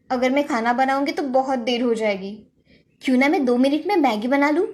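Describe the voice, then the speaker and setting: A woman narrates a moral story in a high voice. She reads from a script as a storyteller. Faint music plays behind her.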